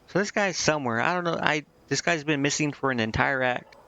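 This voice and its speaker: A man giving casual game commentary as a streamer, rambling and thinking out loud. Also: fairly high pitch, fairly quick. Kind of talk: casual gameplay commentary, reading game dialogue aloud. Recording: muffled mic with clear background noise.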